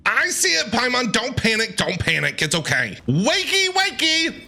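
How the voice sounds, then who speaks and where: A male streamer reacting to a game in a high, bright voice. He is playful and theatrical, talking back to the characters with big swings in pitch, while music plays faintly behind him. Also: wildly swooping pitch, moderate pace, vocal fry. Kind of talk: gameplay reaction commentary.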